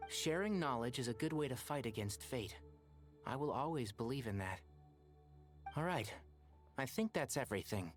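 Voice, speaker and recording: A man voicing a calm, thoughtful young scholar in a video game, speaking in a serious, explanatory way. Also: medium pitch, normal speed, pauses often, faint music behind, natural voice. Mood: calm conviction.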